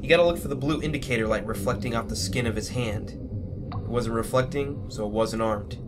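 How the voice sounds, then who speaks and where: A man playing a sharp, decisive fugitive ex-officer in a sci-fi audio drama, speaking in a clipped, matter-of-fact way. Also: medium pitch, brisk, background noise, lightly acted. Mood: calm, matter-of-fact.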